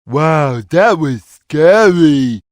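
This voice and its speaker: A man's voice: a little high, lively intonation, dry recording, studio quality. A man voicing a big, easygoing, simple-minded cat in a video game, with a childlike drawl. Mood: amazed. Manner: slow-witted, childlike, drawling. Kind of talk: video game character voice lines.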